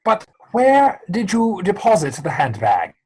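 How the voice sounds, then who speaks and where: A woman playing an earnest, formal young gentleman suitor in a comic play reading, with an insistent manner. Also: mid-range voice, huge pitch swings, unhurried, husky, full character voice, poor audio. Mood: urgent, insistent.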